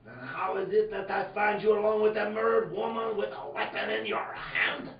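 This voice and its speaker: High-pitched, blustering inspector. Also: male voice, fairly even intonation, moderate pace, roomy sound, recorded from a distance, muffled recording. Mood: suspicious. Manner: overblown, declamatory. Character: blustering, accusing French police inspector. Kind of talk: comic old-time radio acting.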